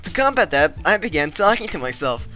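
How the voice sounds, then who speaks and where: Young male vlogger, crisp bright tone, deadpan comic narration, faint music underneath. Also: sits high, a little fast. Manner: deadpan mock-epic, swears casually. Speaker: gaming vlogger. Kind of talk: comedic scripted narration over gameplay.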